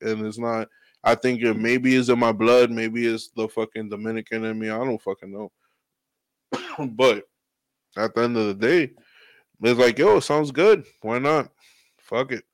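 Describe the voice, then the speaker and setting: A man's voice: mid-range voice, unhurried, long pauses, no room sound. A man talking as a podcast guest and DJ, laid-back and rambling, swearing freely in casual chat. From New York. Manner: laid-back, profane, rambling with "you know".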